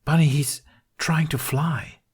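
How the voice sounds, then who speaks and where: A man voicing an excitable, cheeky teenage boy in a storybook reading, eager and a little hesitant. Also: fairly high pitch, takes it slowly, breathy edge, voice pushed up, heavily acted. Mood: earnest, excited.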